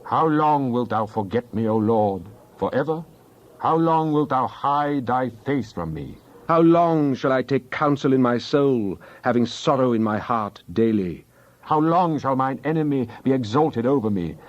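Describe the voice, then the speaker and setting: A man playing a devout psalmist in a dramatized Bible reading, praying in an earnest, deliberate, declamatory way. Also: mid-range voice, animated, dull audio, lightly acted. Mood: pleading, prayerful. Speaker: scripture reader.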